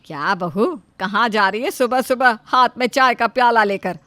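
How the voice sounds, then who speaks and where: A woman voicing a greedy, sharp-tongued middle-aged mother-in-law in a story, loud and dismissive. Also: fairly high pitch, normal speed. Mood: irritated.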